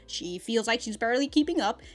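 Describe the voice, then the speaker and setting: A woman's voice: on the high side, expressive, brisk, faint music behind. A woman giving a chatty, rambling review as a reaction host, with casual slang and swearing. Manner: profane, slangy, laughs often while talking.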